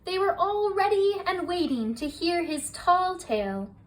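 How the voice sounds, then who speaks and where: High-voiced woman reading bedtime rhymes. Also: big pitch swings, unhurried. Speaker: storybook reader. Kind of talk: bedtime story read-aloud. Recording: distant mic, faint hiss.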